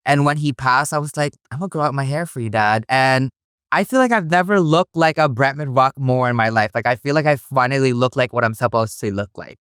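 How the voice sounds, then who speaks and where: A man's voice: medium pitch, fairly quick, a bit bright, smooth, no echo, studio quality. A young man from the Philippines, raised in Hawaii, chatting as a guest in a bubbly, playful way. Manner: bubbly and playful, says like a lot. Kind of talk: casual chat.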